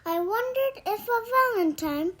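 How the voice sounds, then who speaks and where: A female reader telling children's verse in an animated, expressive, sing-song storytelling style. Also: extremely high pitch, measured pace. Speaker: children's book narrator.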